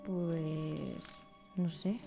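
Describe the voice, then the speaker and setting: A woman's voice: low voice, steady tone, very slow, vocal fry, heavily acted. Radio drama role: innocent young girl, cheeky, chatty, long reverb, music underneath. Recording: reverberant room, music behind.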